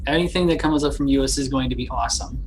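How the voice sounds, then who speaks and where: A woman's voice: a bass voice, very fast, a little hiss or hum. Helpful woman chiming in casually. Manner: helpful reminders, short affirmations. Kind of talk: casual chat.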